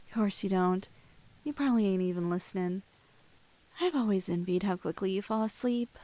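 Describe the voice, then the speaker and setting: A young woman playing a shy, lovestruck cosplayer in an intimate ASMR roleplay, with girlish slangy speech and a halting, bashful manner, close on the mic. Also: medium pitch, warm tone, close to an everyday voice. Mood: tender, resigned. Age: young adult. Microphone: close, dry studio-style microphone.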